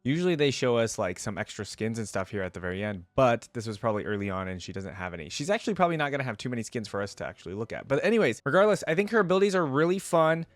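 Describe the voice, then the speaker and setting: A man's voice: medium pitch, big pitch swings, racing, few pauses, dry recording. A man giving casual, musing off-the-cuff commentary as a reaction video host. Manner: musing, hedging, short interjections. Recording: game video music behind voice.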